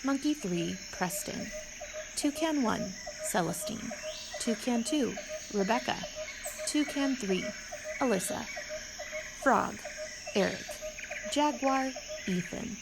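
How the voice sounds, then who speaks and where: A woman with a slightly high voice makes a scripted announcement. She reads each item slowly and leaves pauses between them. Clear background noise with insect and animal ambience runs behind her. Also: expressive. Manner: measured, pausing between items. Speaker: announcer reading cast credits. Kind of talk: scripted announcement, reading a list.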